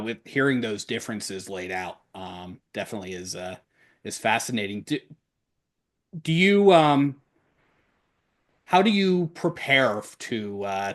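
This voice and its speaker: A man hosting a casual podcast interview, phrasing his questions haltingly as he searches for words. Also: lowish voice, fairly bright tone.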